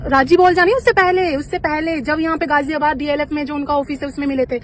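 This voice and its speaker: A woman's voice: high-pitched, fairly even intonation, quick pace. A woman in a heated private phone conversation, speaking insistently and accusingly, heard on a phone call recording. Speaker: actress on a leaked phone call. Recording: noisy phone call recording, street and vehicle sounds.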